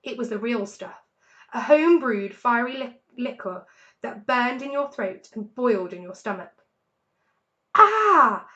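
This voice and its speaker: Expressive woman reading a children's story. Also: on the high side, normal speed, muffled recording. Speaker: story reader. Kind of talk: scripted children's story narration.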